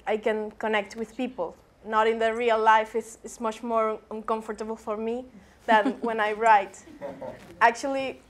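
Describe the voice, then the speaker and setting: Earnest woman from Cuba, flat high voice. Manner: earnest, non-native grammar. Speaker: playwright. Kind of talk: panel introduction.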